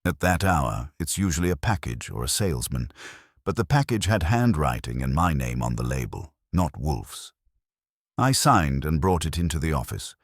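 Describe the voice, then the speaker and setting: A man's voice: very deep voice, sing-song, normal speed, no echo. A man reading scripted first-person detective fiction as an audiobook narrator, with a wry, dry wit.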